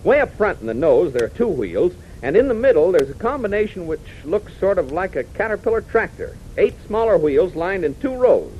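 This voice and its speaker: A man's voice: high-pitched, sing-song, normal speed, hardly any pauses. An American man announcing and narrating a scripted 1940s radio adventure serial. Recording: muffled, noisy 1940s radio recording.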